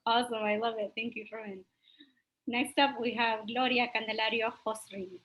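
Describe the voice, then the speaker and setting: A woman hosting a video call, calling names and warmly welcoming each speaker. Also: on the high side, fairly even intonation, poor audio. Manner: upbeat, quick thanks and welcomes. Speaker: host. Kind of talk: casual introductions on a video call.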